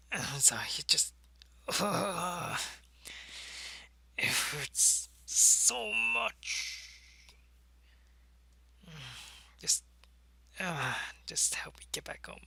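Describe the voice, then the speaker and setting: A man playing a secretive, soldierly alien changeling in an ASMR roleplay, speaking to the listener in a halting, conversational way. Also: fairly high pitch, big pitch swings, vocal fry, pushed and bright, husky, full character voice. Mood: pained, pleading. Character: alien changeling spy posing as human, loyal, secretive, soldierly.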